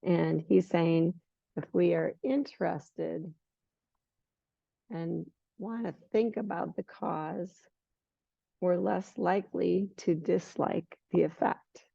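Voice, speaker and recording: Hoarse yoga teacher lady, low gravelly voice, dry muffled lo-fi sound. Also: no echo. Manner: relaxed, unhurried instruction with fillers. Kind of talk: guiding a yoga class. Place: yoga studio. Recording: muffled lo-fi recording.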